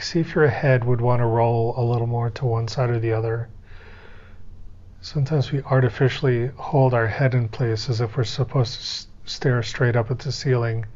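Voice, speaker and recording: Man guiding a meditation, slightly muffled recording. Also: medium pitch. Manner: gentle, unhurried step-by-step coaching with pauses. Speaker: guided meditation teacher. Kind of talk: guided meditation instruction.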